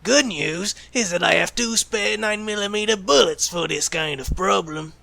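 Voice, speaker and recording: A man's voice: high-pitched, moderate pace, creaky, bright, forceful tone, no echo, full character voice. A man playing a flippant, callous secret agent with a sardonic, teasing manner in an audio roleplay. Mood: smug, sarcastic. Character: callous, sarcastic male secret agent who jokes about killing. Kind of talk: ASMR roleplay acting.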